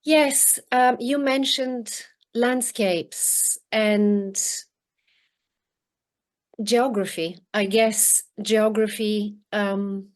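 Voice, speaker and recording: Slow, halting woman on a video call. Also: mid-range voice, fairly even intonation. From Bulgaria. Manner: halting, many restarts and ums. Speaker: guest author. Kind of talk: reflective interview answers. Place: home in rural northern countryside.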